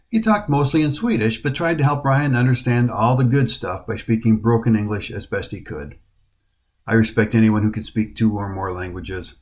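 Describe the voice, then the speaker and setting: A middle-aged American man narrating his hiking trip in a voice-over, with an even storytelling voice and a dry, wry humor. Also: mid-range voice. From United States. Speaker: hiking vlogger. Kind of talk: scripted travel narration.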